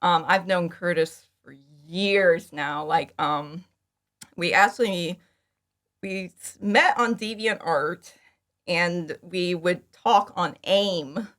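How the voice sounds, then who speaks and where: A woman's voice: on the low side, takes it slowly, a bit bright. A woman talking casually and informally as an interview guest, chatty and prone to acting out her own remarks. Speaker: podcast guest, fan audio drama creator.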